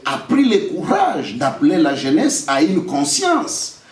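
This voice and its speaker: A man's voice: a little high, wildly swooping pitch, unhurried. A young man with a Congolese French accent giving an emphatic, defiant public statement, repeating phrases for effect. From Democratic Republic of the Congo. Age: young adult. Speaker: lawyer and political candidate. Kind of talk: press statement answering accusations. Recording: echoey room, distant microphone.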